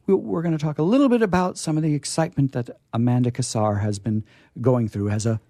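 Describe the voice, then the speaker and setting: A man hosting a radio program in a casual, chatty way, halting and often repeating his words. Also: medium pitch, mellow, no room sound, clean studio sound. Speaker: radio host.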